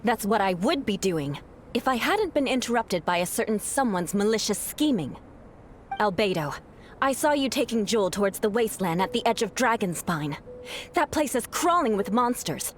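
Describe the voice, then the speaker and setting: A woman's voice: mid-range voice, big pitch swings, moderate pace, slight background noise, lightly acted. A woman voicing a proud female knight who speaks in a cool, clipped and sardonic way. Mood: accusing. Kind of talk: video game voice acting. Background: game music.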